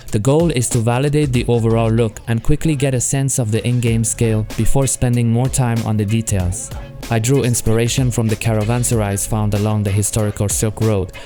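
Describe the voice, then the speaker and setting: A man's voice: medium pitch, warm tone. French-accented man narrating a devlog. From France. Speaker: solo game developer.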